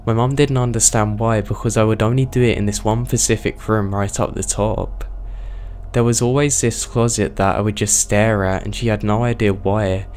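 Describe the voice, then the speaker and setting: A man with a strained, hoarse voice, reading true horror stories aloud as the host of his channel at a steady pace. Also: on the low side, moderate pace, a little hiss or hum. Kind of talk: scripted horror story narration.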